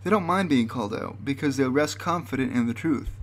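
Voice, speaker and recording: A man's voice: mid-range voice, expressive, a little fast, a little hiss or hum. A man narrating a scripted explanatory video.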